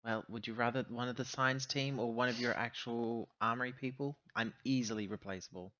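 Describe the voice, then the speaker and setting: A man's voice: mid-range voice, fairly even intonation, brisk, bright, forceful tone, lo-fi sound. A man playing a tabletop roleplaying game, speaking casually in character.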